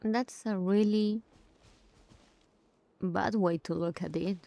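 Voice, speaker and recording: A woman gives casual reaction commentary over a video game. Her voice sits at a middle pitch with a slow pace, blunt and expressive, with soft music behind her. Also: creaky, no echo.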